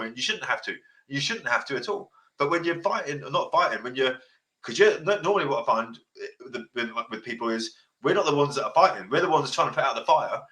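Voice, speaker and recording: Blunt, fast-talking man with a deep voice. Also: poor audio. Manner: blunt, swears freely, repeats himself; passionate, sounds like shouting. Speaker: podcast host. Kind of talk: casual advice chat.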